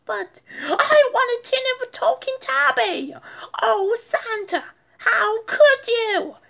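A woman voicing an excitable, demanding little girl from a storybook, squealing and exclaiming dramatically. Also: extremely high pitch, takes it slowly, vocal fry, voice pushed up, heavily acted. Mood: outraged.

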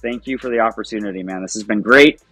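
A man's voice: lowish voice, quick pace, pushed and bright, husky, no room sound, faint music behind. A man in his thirties talking as an interview guest over a video call from home, rambling and upbeat, laughing often. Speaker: guest, project founder. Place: home, by a window. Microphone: webcam on video call.

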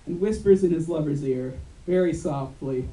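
A young man doing stand-up on a club stage, building a joke with slow, deliberate deadpan delivery. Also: a little high. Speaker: stand-up comedian. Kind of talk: stand-up routine. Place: dark club stage before a live audience. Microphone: handheld mic on a stand. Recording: echoey room, mic from far off.

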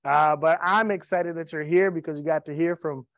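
A man's voice: on the high side, steady tone, normal speed, a bit bright, no echo. A man in his thirties giving an upbeat, joking sales presentation on stage with a handheld mic, telling personal stories. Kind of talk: sales presentation to a live audience. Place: event room with a stage. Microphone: handheld microphone.

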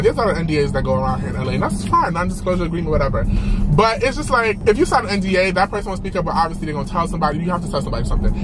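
A young man chatting casually to the camera while driving, sassy and animated, his pitch swinging up high for emphasis. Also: poor audio. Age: young adult. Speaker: vlogger. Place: inside a car with a sunroof. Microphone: camera mounted on dashboard.

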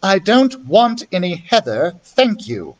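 A bold young sister from a storybook, as a man reads her. The voice is firm and plucky, raised in pitch and lively in its movement. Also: slow pace, recorded from a distance, muffled recording, exaggerated voice. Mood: defiant.

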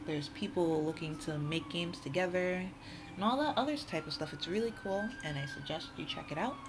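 A woman's voice: lowish voice, huge pitch swings, fairly quick, noisy background. A woman hosting a casual, off-the-cuff tutorial in a chatty, informal, rambling way. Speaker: tutorial host.

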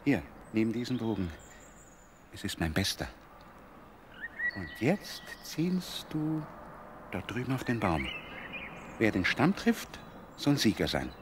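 An eager young biblical prince in a radio play. His friendly voice rises and falls freely, with clear ambient noise around it. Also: lowish voice, big pitch swings, slow, pauses often. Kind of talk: German radio play acting.

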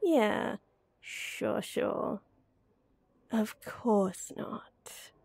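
A woman acting as a young female best friend in a roleplay audio, with a high, mellow voice. She is playful, teasing and coaxing, and takes her time very slowly in a close, dry recording. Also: expressive, vocal fry, slightly rough, no echo, whispered, exaggerated voice. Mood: amused, teasing. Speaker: voice actor. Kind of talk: acted roleplay audio addressed to the listener.

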